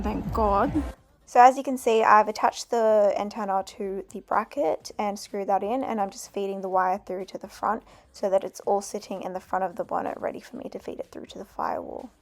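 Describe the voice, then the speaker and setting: A woman giving casual, informal how-to narration for a DIY vlog, with slangy, unpolished wording. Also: mid-range voice. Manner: informal, learning as she goes, slangy. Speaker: vlogger showing a DIY car install.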